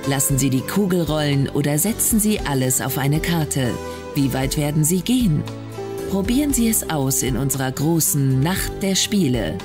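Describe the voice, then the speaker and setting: A cruise ship announcer in a radio drama. Her low voice is polished and inviting, selling the casino in scripted style. Music is clearly audible underneath. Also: expressive, moderate pace. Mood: enticing.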